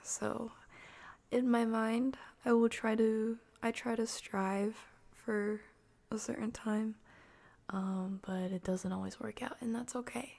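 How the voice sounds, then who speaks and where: Soft, slow-talking woman, half-whispering. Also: fairly high pitch, steady tone, gravelly. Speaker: game streamer and host. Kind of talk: casual livestream chat to viewers.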